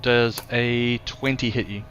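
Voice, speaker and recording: A man's voice: mid-range voice, steady tone, slow pace, fairly bright tone. A man with a New Zealand accent running a live tabletop game as game master, loose and playful, punchy when he narrates big hits.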